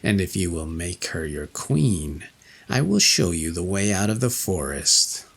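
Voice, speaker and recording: A man's voice: low voice, big pitch swings, a little slow, gravelly, slightly rough, pitched below the performer's own voice, exaggerated voice. A man voicing a cunning old witch woman in a storybook, bargaining in formal, insistent speech. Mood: coaxing.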